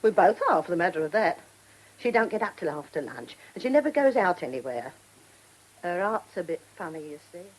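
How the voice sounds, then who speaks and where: An actress playing a devoted, nostalgic old theatre dresser in a radio drama, garrulous and homely, with a working-class London accent. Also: female voice, lowish voice, very animated, brisk, breathy edge, poor audio. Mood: chatty, nostalgic. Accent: working-class London English. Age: older.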